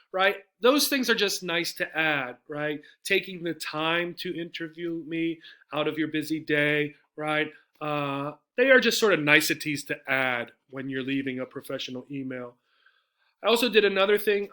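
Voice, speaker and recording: A man teaches an English lesson in a bright, clear-cut voice that sits slightly high. He talks conversationally, breaking points into small steps and often checking that listeners follow. He also speaks out model phrases as examples. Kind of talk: explanatory lesson with example phone messages.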